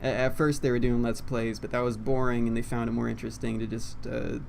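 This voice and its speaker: A man's voice: medium pitch, flat delivery, fairly quick, creaky. Young guy rambling at his desk, kinda unsure off-script, lots of ums, faint hiss behind him. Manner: casual, self-deprecating, meandering with fillers. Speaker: YouTuber. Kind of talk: unscripted rambling monologue. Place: home room, seated at computer. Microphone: condenser mic. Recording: faint background noise.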